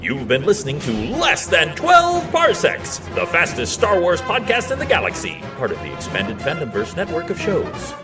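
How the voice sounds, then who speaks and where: A man reading a scripted podcast outro with energetic announcer delivery. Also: a little high, normal speed, few pauses. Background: loud music.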